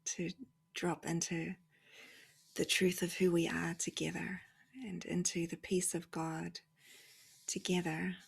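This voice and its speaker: A woman leading a guided meditation, softly and gently, often hushed to a near-whisper, with long pauses between phrases. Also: on the low side, flat delivery, gravelly, husky.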